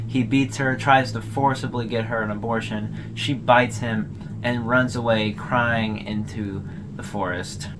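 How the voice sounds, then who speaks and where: A man's voice: mid-range voice, noisy background. A man hosting a video, giving casual, conversational explanatory commentary as he retells a story. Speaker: video host recapping an online horror series.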